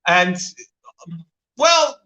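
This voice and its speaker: A man's voice: extremely high pitch, very animated, very slow, pushed and bright, muffled recording. A man with an American accent, a guest in a live-stream interview, talking at length with many restarts and repeated words. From Turkey.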